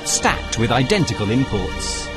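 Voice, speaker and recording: Clipped male TV narrator over loud music. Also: medium pitch, big pitch swings, unhurried. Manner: crisp, clipped announcements. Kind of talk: scripted reality TV voice-over.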